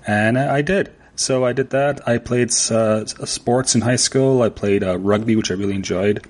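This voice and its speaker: A middle-aged man from Canada, chatting as a podcast guest in a halting way, sometimes stuttering and slurring words. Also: medium pitch, darkish tone. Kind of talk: casual interview chat.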